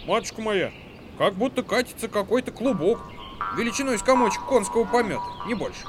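A timid peasant lad, put on by a male storyteller, reporting with fear. The voice is raised high, in folk-tale style, with clear music playing behind it. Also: expressive, a little fast. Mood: hushed, wary. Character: timid peasant son reporting fearfully to his mother.

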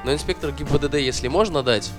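Male podcast co-host, animated, profane storyteller, faint music underneath. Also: a little high, fast talker. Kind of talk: casual chat, storytelling.

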